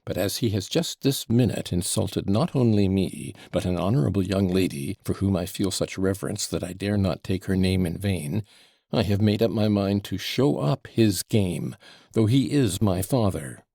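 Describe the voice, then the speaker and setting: A man's voice: deep voice, lively intonation, moderate pace, creaky, dark tone, no room sound, studio quality, exaggerated voice. Man voicing a bitter, angry young son. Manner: tense, breathing hard, growling.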